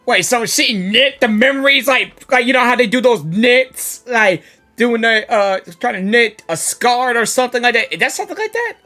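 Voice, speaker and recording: A woman streamer giving casual live reactions to a game, halting and repeating words as she thinks aloud. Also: on the high side, animated, sharp tone, soft background music.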